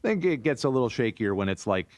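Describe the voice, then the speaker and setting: A man co-hosting a podcast, talking in a casual, measured, conversational way. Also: medium pitch, very animated, no room sound, studio quality. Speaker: podcast co-host.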